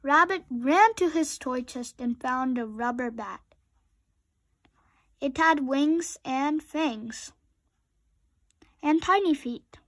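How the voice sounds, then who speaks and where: A woman with a very high voice narrates a children's story. She reads at a slow pace and speaks directly to her young listeners as she goes. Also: no echo. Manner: asks young listeners questions. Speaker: storybook reader.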